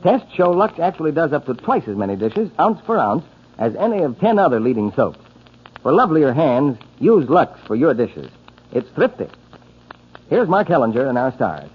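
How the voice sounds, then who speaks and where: A man with a husky voice reading a scripted 1940s radio advertisement. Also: medium pitch, big pitch swings, moderate pace. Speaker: commercial announcer. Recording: muffled 1940s radio broadcast.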